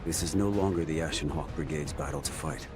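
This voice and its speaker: A man playing a resolute, honorable mercenary brigade leader in a video game, speaking formally and deliberately. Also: rumbling low. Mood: commanding, solemn. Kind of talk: dramatic video game cutscene acting. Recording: loud music under the voice.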